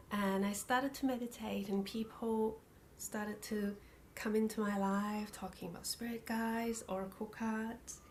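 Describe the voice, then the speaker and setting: A middle-aged woman from Thailand and New Zealand, telling her life story as an interview guest in a halting, reflective, breathy way on a video call. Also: medium pitch, a little mellow. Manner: halting, reflective, phrases broken by pauses. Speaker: interview guest, spiritual practitioner. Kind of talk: personal life story in conversation. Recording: video call with faint background noise.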